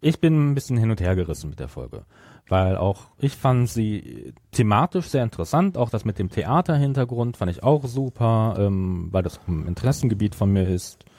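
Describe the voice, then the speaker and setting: A man's voice: lowish voice, big pitch swings, moderate pace, mellow, no echo, clean studio sound. A man co-hosting a podcast, giving his opinion in a casual review discussion. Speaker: podcast co-host.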